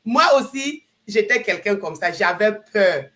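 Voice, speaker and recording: A woman's voice: mid-range voice, expressive, measured pace, fairly bright tone. A woman from Burkina Faso hosts a live online chat, hesitating and restarting her phrases. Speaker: livestream host.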